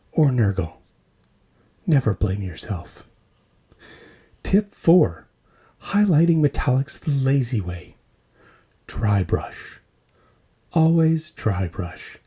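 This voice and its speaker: Man, comedic news host, scripted, lively swooping intonation, husky edge, tongue-in-cheek, short punchy phrases. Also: medium pitch. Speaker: host of a tabletop wargaming hobby news podcast. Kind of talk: scripted comedic news roundup with mock commercials.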